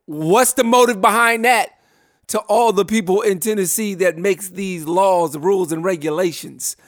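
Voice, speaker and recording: A man hosting a hip-hop radio show, mixing hype shout-outs with reflective commentary full of loose fillers. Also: high voice, moderate pace, dry recording, clean studio sound.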